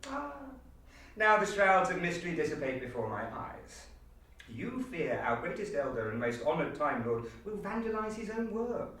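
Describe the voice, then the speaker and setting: A man's voice: fairly high pitch, big pitch swings, normal speed, a bit bright, voice pushed up. A man auditioning as a grandiose, scheming Time Lord with pompous theatrical declaiming. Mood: scornful. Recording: very echoey room, distant mic.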